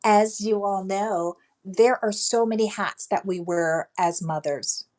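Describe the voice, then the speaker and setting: A woman with a light voice speaking as a guest on an online panel about leadership, in a measured, deliberate way. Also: medium pitch, darkish tone. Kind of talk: panel talk answering a host.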